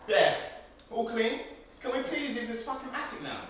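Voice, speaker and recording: A man's voice: extremely high pitch, sing-song, moderate pace, fairly bright tone, exaggerated voice. Man acting a swooping, desperate young British captive. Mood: insistent. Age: young adult. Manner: exasperated, foul-mouthed. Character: Leon, desperate, sweary young male captive. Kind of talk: theatrical stage drama dialogue. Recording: very echoey, distant mic, faint noise.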